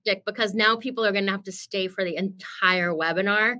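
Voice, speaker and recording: A woman's voice: medium pitch, steady tone, brisk, pushed and bright, a little hiss or hum. A woman hosting an interview for a webinar, introducing topics and putting questions to a guest. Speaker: interview host, business founder.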